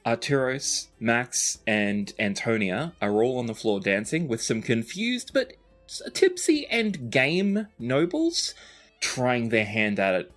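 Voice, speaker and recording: A man's voice: medium pitch, big pitch swings, slow, fairly bright tone. Man narrating as game master, music behind. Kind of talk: narrating a tabletop roleplaying game. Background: background music.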